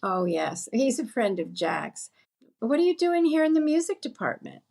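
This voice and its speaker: A woman playing a plain-spoken friend out shopping, quick to argue, in an old-time radio comedy. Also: high voice, sing-song, vocal fry, darkish tone, full character voice. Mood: friendly, curious.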